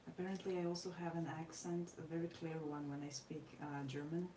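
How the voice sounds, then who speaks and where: A tired woman from Croatia with a Croatian accent, chatting casually while she draws, speaking in measured, formal phrases with frequent pauses. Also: deep voice, steady tone, dark tone. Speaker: artist vlogger. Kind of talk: casual chat while drawing. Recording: roomy, distant mic with noisy ambience.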